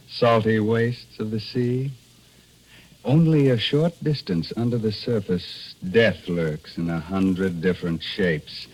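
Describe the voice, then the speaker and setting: An actor playing a gleefully macabre horror host and narrator in theatrical, teasing 1940s radio style. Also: male voice, sits low, a little mellow, rough recording. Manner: theatrical, teasing, drawn-out delivery. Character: gleefully macabre, mock-friendly male horror show host. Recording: 1940s radio broadcast with organ music.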